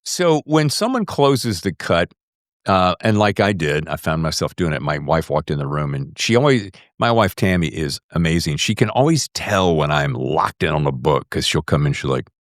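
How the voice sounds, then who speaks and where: A man's voice: a bass voice, sing-song, moderate pace, no echo, studio quality. A man hosting a casual book interview, musing aloud and rephrasing his questions as he goes. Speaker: podcast host interviewer.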